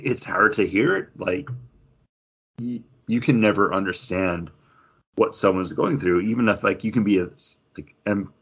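A man co-hosting a podcast in casual chat, halting and full of fillers, often acting out imagined lines as he talks. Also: on the low side, slightly rough, highs cut off. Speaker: podcast co-host reviewing comic books.

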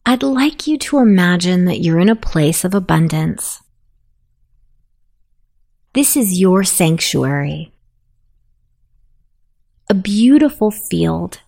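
A woman narrating a guided sleep meditation in a soothing, softly spoken, unhurried manner. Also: on the low side.

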